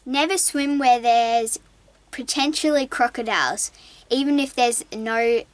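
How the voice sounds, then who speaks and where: A young woman reading aloud haltingly inside a boat cabin. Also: a little high, no echo. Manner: halting, stumbling reader. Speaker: daughter of the sailing family.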